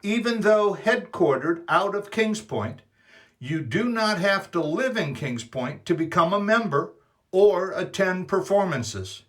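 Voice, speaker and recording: A man reading a scripted promotional announcement in a deliberate, formal manner. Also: high voice, animated, fairly bright tone.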